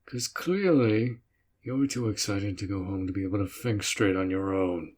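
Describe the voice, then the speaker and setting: A man playing a dutiful, protective orc general in a roleplay audio drama, speaking in a measured, earnest way with a tender side. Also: on the low side, darkish tone, lightly acted. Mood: stern, exasperated. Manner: slow, measured, earnest, with sighs.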